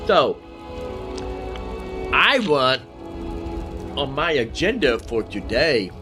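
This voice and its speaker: Slow-talking man streaming a game. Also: mid-range voice, very animated, over music. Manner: rambling, folksy, repeats phrases for emphasis. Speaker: live streamer, gaming host. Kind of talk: casual game livestream commentary.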